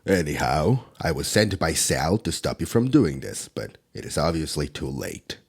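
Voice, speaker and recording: A stern demon enforcer, played by a man, with an extremely deep voice. It is gravelly and husky. He scolds in low, measured tones and stays protective underneath. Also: big pitch swings, heavily acted. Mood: gentle. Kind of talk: ASMR audio roleplay.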